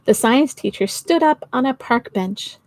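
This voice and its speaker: A middle-aged or older woman reading a storybook to children, expressive and giggly, pausing to chat with her listeners. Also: on the high side, no echo. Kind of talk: storytime read-aloud for children. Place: small indoor home office.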